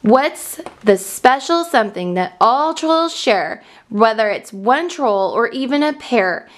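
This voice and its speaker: A woman reading a children's storybook aloud in an excited, expressive manner and enunciating for young listeners. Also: on the high side, slow pace.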